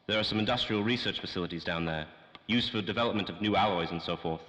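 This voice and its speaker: A man as a deep-voiced, fussy radio-serial scientist. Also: fast, sharp tone. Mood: pedantic. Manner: formal, wordy, pedantic. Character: fussy, self-important male scientist doctor. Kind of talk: 1930s old-time radio drama acting.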